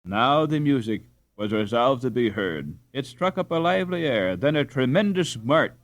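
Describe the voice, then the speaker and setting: A man reading a classic children's story aloud as an audiobook narrator, with expressive, dramatic delivery. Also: medium pitch, moderate pace, dry recording. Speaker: audiobook reader.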